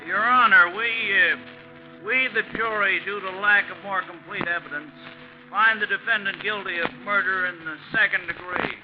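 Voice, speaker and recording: A man playing a nervous jury foreman in 1940s radio drama, halting and hesitant, on an old recording. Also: high-pitched, highs cut off, music in the background, lightly acted.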